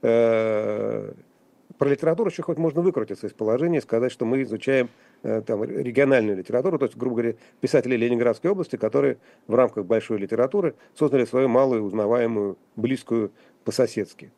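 A man speaking as a guest commentator on a radio talk show, halting and wry, with frequent restarts and fillers. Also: mid-range voice, vocal fry, mellow, dry recording. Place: radio studio.

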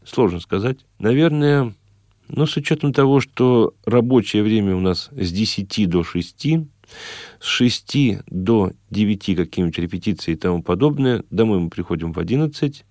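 A man's voice: lowish voice, expressive, normal speed, a little mellow, dry recording. A middle-aged man speaking conversationally as a guest in a studio interview. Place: radio studio.

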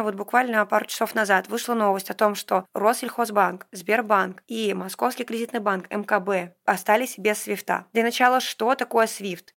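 A woman's voice: medium pitch, a bit bright. A woman podcast host explaining things in a chatty, colloquial monologue, often posing rhetorical questions. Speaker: podcast host and financial consultant.

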